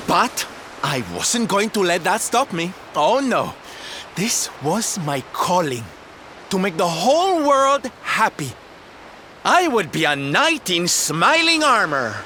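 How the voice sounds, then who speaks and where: A man's voice: on the high side, very animated, a little slow, pushed and bright, slight background noise, heavily acted. An actor playing a flamboyant, sensitive male circus performer in a video game, camp and dramatic in his delivery. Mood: wistful, passionate. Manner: camp, dramatic, sighing, coy. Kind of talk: video game character acting.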